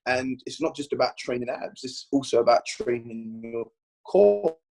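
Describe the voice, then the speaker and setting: Man, London accent, husky, casual podcast guest, rambling storyteller. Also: medium pitch, moderate pace, low-quality recording. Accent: London English. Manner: rambling, restarts, animated storytelling. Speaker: guest bodybuilder and trainer.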